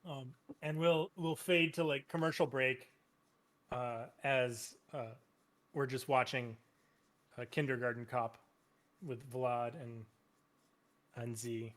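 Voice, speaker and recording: A man running a tabletop game as game master, talking in a relaxed way with lots of fillers. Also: medium pitch, takes it slowly, pauses often. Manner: relaxed, filler-heavy, easygoing. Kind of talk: casual tabletop game narration.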